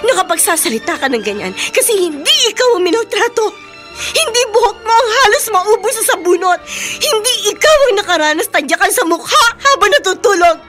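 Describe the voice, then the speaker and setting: A woman's voice: extremely high pitch, sing-song, a little fast, over music. An actress with a Filipino accent playing an aggrieved, unforgiving young mother in an emotional radio drama. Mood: bitter, tearful.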